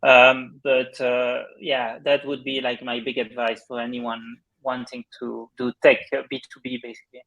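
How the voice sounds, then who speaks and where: A Belgian man whose faint accent barely shows in his otherwise smooth English. His voice sits mid-pitch, and he speaks as a candid, upbeat founder sharing lessons in a relaxed interview. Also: normal speed, hardly any pauses, rough recording. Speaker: startup founder guest. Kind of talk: casual interview chat.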